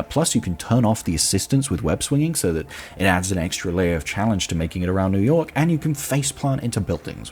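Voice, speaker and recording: A man's voice: lowish voice, darkish tone. A man hosting a podcast, giving an enthusiastic, emphatic countdown review in a conversational style. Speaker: podcast host.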